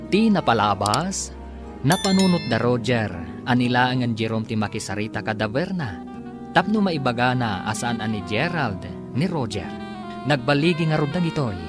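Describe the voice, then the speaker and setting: Nabiag a lalaki a mangisalaysay iti drama. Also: male voice, mid-range voice, expressive, moderate pace. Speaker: radio drama announcer and narrator. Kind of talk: scripted, theatrical radio narration. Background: music.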